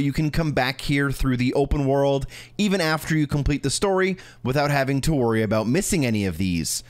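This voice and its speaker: A man narrating a video game walkthrough in a casual, instructional way, talking directly to the viewer. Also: a little high. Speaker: video game guide creator.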